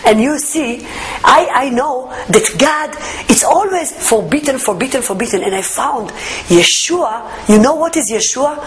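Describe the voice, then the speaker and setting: Israeli woman, Hebrew her first tongue; earnest testimony in careful English, voice a bit high. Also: lively intonation, measured pace, slight background noise. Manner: earnest, searching for words in English, emphatic. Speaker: testimony speaker. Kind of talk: personal faith testimony.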